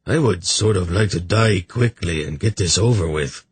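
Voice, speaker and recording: Man playing a miserable, whining hungover nobleman, husky. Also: low-pitched, moderate pace. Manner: plaintive, weak moaning. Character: miserable, hungover, self-pitying man of royal rank.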